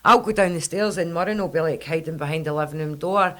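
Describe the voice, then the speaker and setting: A low, husky-voiced Scottish woman hosts a casual podcast chat. She tells stories with animated energy, swears freely, and her voice sits a little low for a woman. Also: quick pace. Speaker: podcast host.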